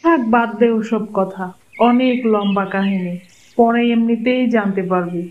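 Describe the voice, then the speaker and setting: A woman's voice: mid-range voice, moderate pace, natural voice. Cartoon dub: a woman as a brusque older aunt, dismissive, Bangladeshi Bengali accent, dull audio with light hiss. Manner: curt, dismissive. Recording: dull lo-fi sound, faint noise.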